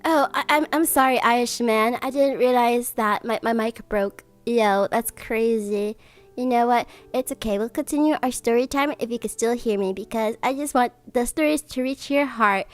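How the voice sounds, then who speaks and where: A woman's voice: on the high side, moderate pace. A woman livestreamer with a cutesy, gushing way of talking, chatting to viewers and reading bedtime stories. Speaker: streamer, host.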